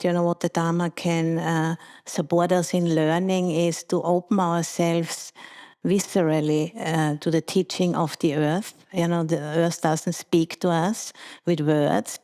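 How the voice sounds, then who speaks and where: Slow, low-voiced Austrian nun. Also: female voice, a little mellow. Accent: Austrian German. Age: middle-aged. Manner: slow and halting, with many fillers and restarts. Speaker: Buddhist nun and teacher. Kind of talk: dharma talk at a retreat.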